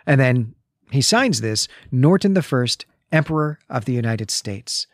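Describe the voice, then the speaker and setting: A man's voice: mid-range voice, expressive, a little slow, dry recording, studio quality. A man co-hosting a literary podcast, talking through a story in an articulate, analytical, conversational way. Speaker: podcast co-host. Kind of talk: conversational literary commentary and plot recap.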